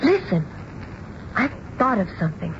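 An American actress playing an upset, stubborn young bride in a radio drama, petulant and plaintive. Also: female voice, mid-range voice, very animated, moderate pace, close to an everyday voice. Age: young adult. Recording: dull, hissy old radio broadcast.

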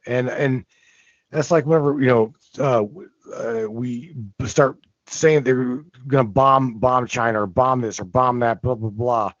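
A man's voice: medium pitch, steady tone, measured pace, no room sound. Earnest host guy stammering, repeating himself, muffled dry audio. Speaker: podcast host. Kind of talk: casual chat. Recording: muffled lo-fi sound.

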